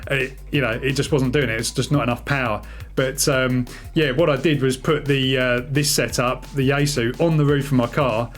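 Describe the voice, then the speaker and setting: A man giving a relaxed, matter-of-fact hands-on demonstration as the host of a hobby radio video. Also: medium pitch, normal speed, faint music behind. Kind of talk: casual demonstration chat.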